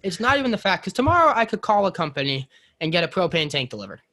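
A man's voice: high-pitched, very animated, quick pace, bright, forceful tone. A young American man chatting casually, swearing freely and ranting. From United States. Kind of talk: casual chat.

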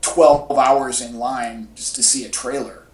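A man talking casually as a podcast co-host, in a halting, conversational way. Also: mid-range voice, steady tone. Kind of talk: casual chat about movie news. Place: indoor room with echo. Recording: echoey room, distant from mic.

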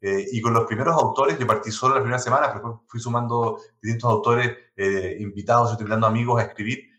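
A man with a Chilean accent, a guest in an interview, telling stories in quick bursts with many restarts; Spanish is not his first language. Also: mid-range voice, fairly even intonation, a bit bright, roomy sound. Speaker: guest, tech blog founder. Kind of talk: conversational interview.

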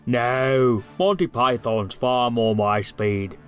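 An actor playing a wry, exasperated hero in a dramatized comic horror trailer. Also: male voice, mid-range voice, steady tone, takes it slowly, smooth, soft background music, natural voice. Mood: wry exasperation. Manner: wry, dry sarcasm. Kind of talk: dramatized book trailer acting.

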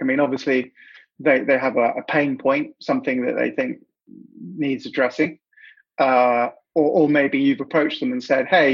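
A man from the UK with a British accent, hosting an interview and asking friendly, halting questions full of restarts. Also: medium pitch, gravelly, slightly rough, highs cut off. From United Kingdom. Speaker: interview host.

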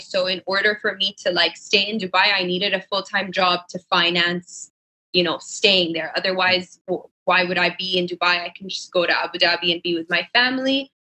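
Bright-voiced young woman chatting. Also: on the low side, poor audio. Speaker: podcast guest, fashion designer. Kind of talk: casual conversational interview answers.

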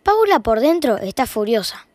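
A woman's voice: fairly high pitch, very animated, normal speed, a little mellow, no echo. A woman with an Argentine accent reading scripted, wry narration for an audio fiction.

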